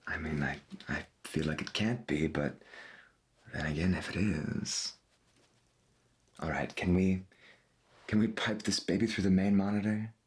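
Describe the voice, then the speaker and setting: A man playing a gentle, wondering veteran 1990s AM radio host in a soft-spoken ASMR roleplay, husky and folksy, musing in a hesitant murmur. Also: low voice, measured pace. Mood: quiet disbelief. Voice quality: husky, gravelly.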